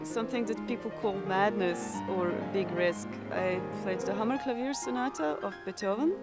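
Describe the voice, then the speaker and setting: A young woman with a Croatian accent speaks reflectively in an interview, often pausing and restarting her phrases. Her pitch sits in an ordinary middle range. Music plays clearly beneath her voice. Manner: thoughtful, with frequent pauses and repeated words. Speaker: competition-winning pianist. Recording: music playing underneath.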